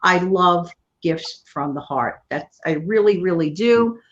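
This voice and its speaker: A woman's voice: on the low side, expressive, a little slow, highs cut off. A middle-aged woman hosting her channel in casual chat, rambling and laughing often. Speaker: channel host. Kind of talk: casual live-stream chat.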